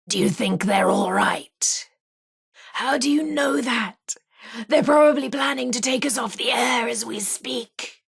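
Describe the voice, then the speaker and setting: A vintage radio hostess from a video game, genteel and theatrical. Her voice is breathy and husky with a creaky edge, at a medium pitch. She presents at an unhurried pace, with a flirty, teasing lilt. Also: fairly bright tone, heavily acted. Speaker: radio host. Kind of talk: old-time radio presenting with playful banter.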